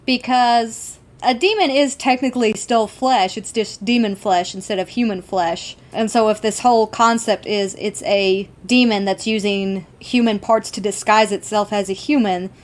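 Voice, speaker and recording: A woman's voice: mid-range voice, steady tone, normal speed, hardly any pauses. A woman co-hosting a podcast, discussing a TV show in a casual, enthusiastic, conversational way. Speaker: podcast co-host.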